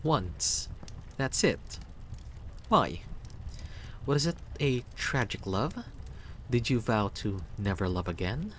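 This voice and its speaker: Man putting on a higher, cheeky young traveler. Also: big pitch swings, slow, pauses often, a bit bright, slight background noise. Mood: prying, playful. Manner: playful, teasing, lilting.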